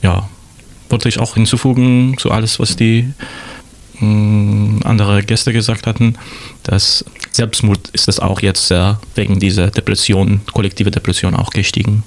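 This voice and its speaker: Gravelly Persian-accented man, hesitant speaker. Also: fairly low pitch, flat delivery, a little slow. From Iran. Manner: hesitant, non-native phrasing. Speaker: interview guest. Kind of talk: reflective radio discussion. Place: radio studio.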